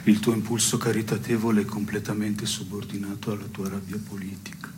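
An actor playing a provocative, ironic man in an absurdist radio play, musing in a wry, deadpan manner. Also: on the low side, a little fast, noisy background, lightly acted. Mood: wry, provoking. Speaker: stage actor. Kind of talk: theatrical dialogue in a radio play.

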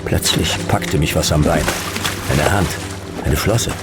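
A world-weary male detective in a noir radio play. His voice is extremely low, his delivery slow and terse in short clipped phrases, with music clearly behind him. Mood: grim, weary.

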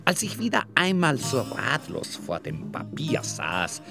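A man's voice: medium pitch, wildly swooping pitch, gravelly, over music, exaggerated voice. An actor as a wry author addressing his audience in ornate, formal literary narration for a radio drama. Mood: wry, confiding. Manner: measured, formal.

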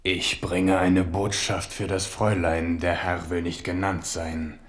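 A man's voice: low-pitched, little pitch movement, measured pace. An actor in a German radio drama playing an aging, battle-hardened king who is stubborn and determined in his courting. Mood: quiet, courting. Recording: older studio radio recording with hiss.

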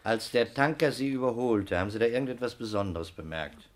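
An actor playing a calm, businesslike investigator who questions in a brisk, matter-of-fact way, in a 1960s German radio crime drama. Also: male voice, medium pitch, natural voice. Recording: 1960s radio play, heavy background noise.

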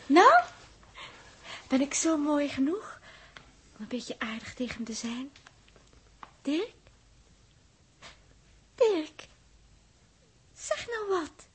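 A woman's voice: high-pitched, big pitch swings, takes it slowly, long pauses, fairly bright tone, heavily acted. An actress playing a vain, hot-tempered young woman in a 1960s radio drama, coaxing and easily wounded. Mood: teasing, coaxing.

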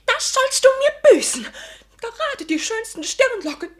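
A woman's voice: very high voice, very animated, unhurried, full character voice. A woman playing a vain, wronged young lady in a literary radio drama, whimpering shrilly and vengefully. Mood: tearful outrage.

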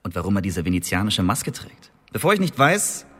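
An actor playing a cheeky, defiant poet and secret agent in a historical radio drama, with a theatrical, insistent manner. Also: male voice, on the low side, very animated, brisk, fairly bright tone, husky, full character voice. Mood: defiant, indignant.

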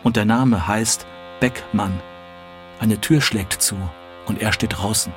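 Male literary reader, lowish voice, dramatic deliberate phrasing, faint music behind. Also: fairly even intonation.

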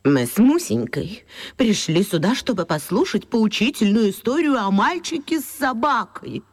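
A woman's voice: fairly high pitch, expressive, moderate pace, breathy edge. An actress playing a stern, pompous, indignant elderly woman with a lapdog in a children's radio play.

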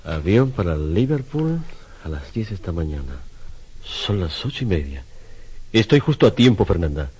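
A man's voice: sits low, big pitch swings, moderate pace, darkish tone, slightly rough, exaggerated voice. A man acting in a radio drama as a proud, obsessive, self-doubting sculptor, with a theatrical delivery. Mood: urgent, decided.

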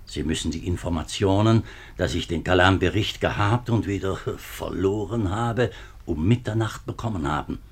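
A man's voice: low-pitched, moderate pace, breathy edge. A man playing a nervous, worn-down middle-aged minister in a 1950s German radio drama, speaking formally but hesitantly. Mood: anxious, weary. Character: nervous, worn-down government minister, husband and father.

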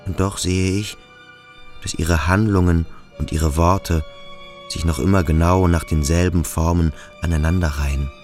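A male actor playing a brooding, introspective schoolboy who questions himself slowly and deliberately in a literary radio drama. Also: sits low, steady tone, darkish tone, faint music behind. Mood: brooding, uneasy. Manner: slow, deliberate, self-questioning. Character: brooding, introspective schoolboy pondering his own strangeness.

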